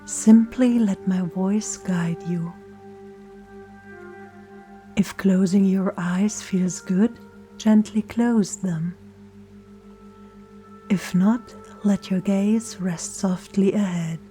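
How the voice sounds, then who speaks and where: Woman, meditation guide, very slow, soft and soothing, mellow dark tone, often breathy, calm music behind. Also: medium pitch. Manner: soft, soothing, often breathy and near-whispered, with deliberate pauses. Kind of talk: gentle scripted guided meditation. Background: soft meditation music and singing bowl tones.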